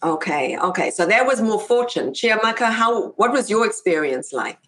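A woman hosts an interview in an upbeat, chatty way, often pausing on fillers. Her pitch sits in the middle. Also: lively intonation, fairly bright tone, a little hiss or hum. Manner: upbeat, filler-heavy hosting. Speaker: host. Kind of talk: hosted webinar interview.

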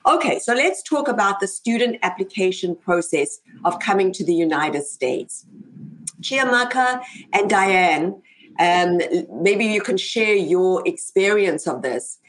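A woman's voice: medium pitch, animated, unhurried, poor audio. A woman hosting an online interview show, talking upbeat with frequent fillers. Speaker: host. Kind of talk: hosted webinar interview.

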